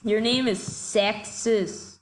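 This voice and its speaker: A woman giving casual gameplay commentary, excitable and quick to shout in frustration, a little sick. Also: on the high side. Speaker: gaming commentator.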